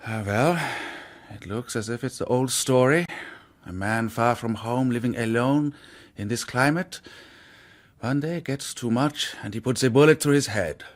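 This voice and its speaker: An actor playing an unbothered, worldly local official asking about a death in a radio drama. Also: male voice, mid-range voice, unhurried, close to an everyday voice. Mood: matter-of-fact, cynical.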